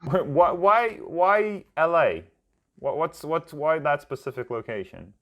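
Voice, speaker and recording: A man's voice: a little high, big pitch swings, measured pace, dull audio. A man hosting a podcast, asking interview questions eagerly and often repeating words as he starts. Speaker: podcast host.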